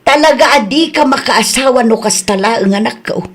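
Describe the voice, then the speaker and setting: A woman's voice: a little high, normal speed, close to an everyday voice. A woman with an Ilocano Filipino accent playing a scolding, advising mother in a radio comedy drama. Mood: exasperated, scolding.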